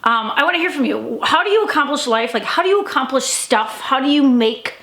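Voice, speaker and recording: A woman's voice: high voice, lively intonation, fairly quick, fairly bright tone, echoey room. A woman talking to camera in a casual daily vlog, rambling with many fillers and restarts, a little stuffy and congested. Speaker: vlogger and coach.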